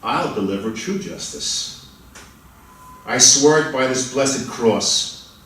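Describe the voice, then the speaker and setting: A man's voice: medium pitch, animated, a bit bright. An actor in a dramatic stage reading, playing a desperate accused man who pleads emphatically with a judge. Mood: bitter resignation. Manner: emphatic, pleading, drawn-out outbursts. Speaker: reader playing the accused in a play. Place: large indoor room at a college festival reading. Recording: echoey hall, far from the mic.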